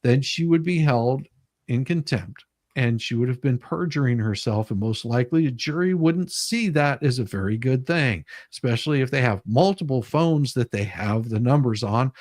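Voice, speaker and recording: A man's voice: mid-range voice, expressive, normal speed, darkish tone, no room sound, clean studio sound. A man hosting a casual live stream, explaining legal paperwork in an emphatic, sweary way. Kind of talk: casual commentary on a legal document.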